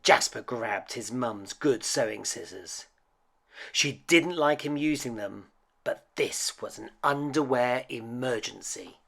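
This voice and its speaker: A man narrates a children's storybook at an unhurried pace. His voice is husky and a little creaky, and it rises and falls theatrically as he tells the tale. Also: mid-range voice. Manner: dramatic, expressive, with hushed suspenseful dips. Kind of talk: animated picture book read-aloud.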